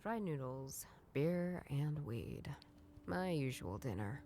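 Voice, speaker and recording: Game role: a sardonic, hard-drinking female noir detective, low and dark-voiced, deadpan inner monologue. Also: slow, full character voice. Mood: musing, deadpan. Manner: dry, weary, deadpan.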